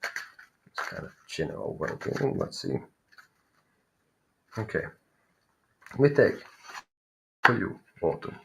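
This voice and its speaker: Finnish guy doing live tarot, lowish voice, kinda feels his way through each sentence. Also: wildly swooping pitch, slow, creaky, pauses often, noisy background. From Finland. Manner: thinking aloud, searching for words. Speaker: tarot reader. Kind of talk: live tarot reading for viewers.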